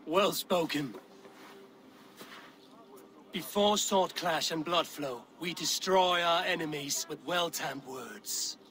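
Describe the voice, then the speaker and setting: An actor playing a bold, blunt Viking warrior who goads and mentors with wry command in a video game cutscene. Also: male voice, a little high, takes it slowly, pauses often, sharp tone. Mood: stern, wry.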